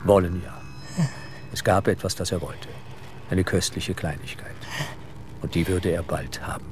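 A man narrating an audio drama in a measured, suspenseful, literary style. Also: low voice, fairly even intonation, noisy background.

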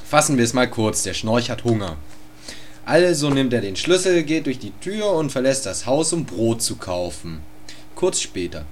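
A man narrating an absurd amateur comic radio play in a deadpan way. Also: medium pitch, normal speed, slight background noise. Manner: deadpan, absurd, crude humor.

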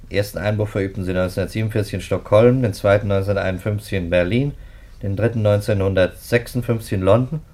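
An actor playing a sceptical, methodical police detective in a 1950s radio play, speaking in a clipped, businesslike manner. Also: male voice, on the low side, steady tone, quick pace, darkish tone, close to an everyday voice. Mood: matter-of-fact. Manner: businesslike, clipped, rattles off facts.

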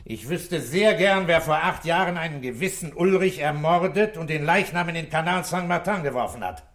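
An actor playing a composed, authoritative police commissioner in a 1960s radio play, speaking deliberately and with command. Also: male voice, a little high, expressive, fairly bright tone. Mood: stern, probing.

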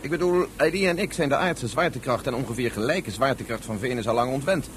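An earnest, formal physicist, played by a man in a radio space drama. The voice is mid-pitched and slightly hesitant. A clear rumbling noise runs behind it. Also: fast, lightly acted. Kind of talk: science fiction radio drama acting.

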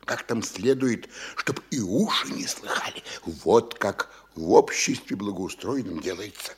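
An actor playing a sly, wheedling provincial official in a classic radio play, insinuating and coaxing. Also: male voice, on the low side, lively intonation, measured pace, slightly rough, exaggerated voice. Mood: scheming. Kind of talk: classic stage-style radio acting.

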